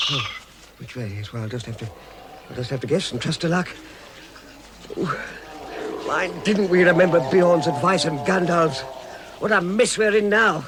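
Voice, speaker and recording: A man playing a timid but plucky hobbit adventurer in a vintage British radio drama, stammering and exclaiming to himself. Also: on the high side. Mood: anxious, forlorn. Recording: vintage radio recording with loud background noise.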